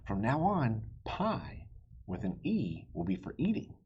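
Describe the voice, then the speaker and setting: A hearty father turned dragon from a storybook, acted out by a man. He exclaims dramatically in a husky, swooping voice. The recording sounds a bit dull. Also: mid-range voice, huge pitch swings, a little slow, full character voice. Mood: declaring.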